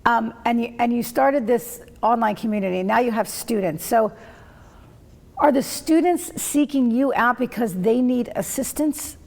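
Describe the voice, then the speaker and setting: Female talk-show host with vocal fry, rambling questions. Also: a little high, moderate pace, a little mellow. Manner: rambling questions, frequent backchannel. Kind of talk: interview hosting.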